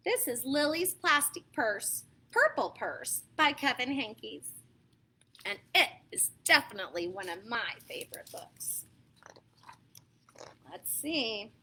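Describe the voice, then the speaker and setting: An American woman reading picture books aloud to children in an expressive, dramatic way, stepping aside to talk to her listeners. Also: high-pitched. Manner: expressive, dramatic emphasis, asks listeners questions. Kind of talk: animated read-aloud storytelling for young children, with asides.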